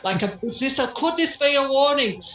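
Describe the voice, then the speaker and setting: A woman's voice: high-pitched, animated, fairly quick, bright, forceful tone, a little hiss or hum. A woman, a podcast reporter chatting casually. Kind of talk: casual chat. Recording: echoey, roomy sound.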